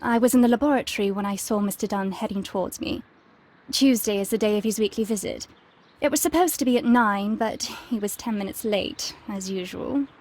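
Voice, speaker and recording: A woman's voice: mid-range voice, a little fast, darkish tone, a little hiss or hum, natural voice. A woman playing a composed young researcher in a video game, answering politely and precisely. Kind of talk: scripted game dialogue, giving testimony.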